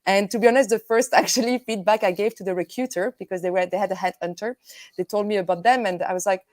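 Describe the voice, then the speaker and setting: Belgian woman, lively French-speaking guest. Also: medium pitch, fast, no room sound. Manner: energetic, laughs often, many fillers. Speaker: guest, tech company executive. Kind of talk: live video interview.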